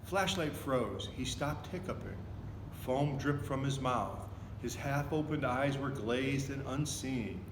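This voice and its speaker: A man reads a children's book aloud with expression. His voice sits at a middle pitch. The recording rings with long reverb and has clear noise behind it. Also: slow pace. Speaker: volunteer story reader. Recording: echoey, reverberant room with noticeable noise.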